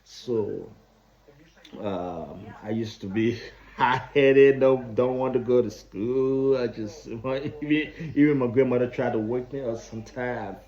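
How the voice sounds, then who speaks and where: A man in his thirties with a Liberian accent, telling his own story in a halting way, often repeating and restarting phrases. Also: medium pitch, a little mellow. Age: 30s. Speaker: vlogger. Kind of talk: personal storytelling. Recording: dull lo-fi recording.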